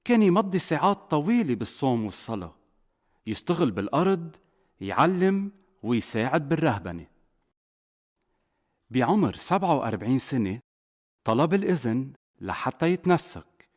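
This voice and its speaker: A man with a Lebanese accent narrating a scripted children's story as a father would, in an expressive way. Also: mid-range voice. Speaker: narrator, a father telling a saint's life to children.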